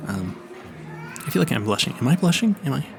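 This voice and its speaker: A man's voice: mid-range voice, very animated, a little fast, creaky, noisy background. A man playing an awkward, smitten young vampire hunter in a romantic audio roleplay, stammering nervously and apologizing politely. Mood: embarrassed.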